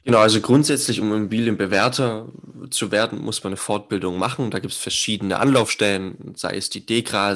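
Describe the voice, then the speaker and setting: Man in his twenties, lowish voice, earnest, businesslike, laughs at his own remarks. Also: fairly bright tone. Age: 20s. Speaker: young real estate business owner, guest. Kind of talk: interview answers.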